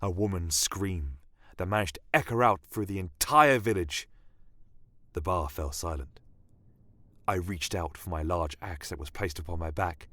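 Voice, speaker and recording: Deep-voiced man narrating a fantasy tale. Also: moderate pace, bright, forceful tone, no room sound. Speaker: storyteller. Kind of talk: scripted first-person fantasy narration.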